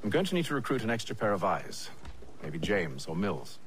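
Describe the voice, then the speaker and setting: An actor playing a composed, shrewd English gentleman adventurer in a video game, speaking in a measured, articulate and formal way. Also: lowish voice, big pitch swings, noisy background. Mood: cool, pensive. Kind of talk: cinematic video game acting.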